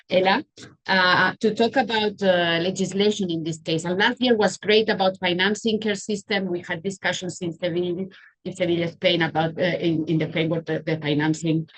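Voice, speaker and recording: A woman's voice: fairly low pitch, poor audio. A woman moderating an online panel discussion, speaking with many fillers and restarts over a video call.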